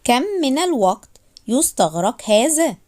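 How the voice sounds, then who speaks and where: A woman teaches beginner phrases in a raised, animated voice. She says each phrase slowly, repeats it, and then gives a quick translation. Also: a little high. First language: Arabic. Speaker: language teacher. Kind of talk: slow phrase drilling for learners.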